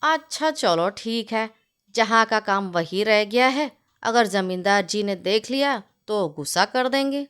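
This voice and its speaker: A woman's voice: medium pitch, animated, measured pace, fairly bright tone, smooth, voice pushed down. A woman voicing a gossiping male house servant in a storybook tale, informal and chatty. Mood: cautious. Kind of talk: storybook character dialogue.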